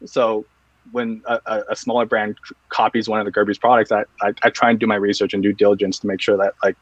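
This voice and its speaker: A low-pitched man chatting casually as an interview guest, stumbling over restarts and tossing in fillers. His voice comes over dry, muffled call audio with no room sound. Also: flat delivery, no room sound. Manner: quick, loose, many restarts and fillers. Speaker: guest, EDC accessory reviewer.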